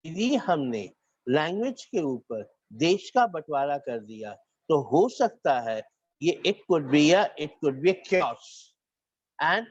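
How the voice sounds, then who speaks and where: Indian guy teaching class, high-ish voice, muffled dry recording, keeps restarting sentences. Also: no echo. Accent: Indian English, north Indian. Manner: repeats and restarts phrases, dictates points to students. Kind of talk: classroom lecture, mixing English and Hindi.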